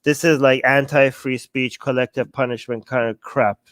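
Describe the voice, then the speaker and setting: A man's voice: medium pitch, steady tone, moderate pace, fairly bright tone, no room sound, studio quality. A man co-hosting a political talk show, bluntly arguing his view and mimicking the people he disagrees with. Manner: blunt, argumentative, quotes others in mocking voices. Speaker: co-host.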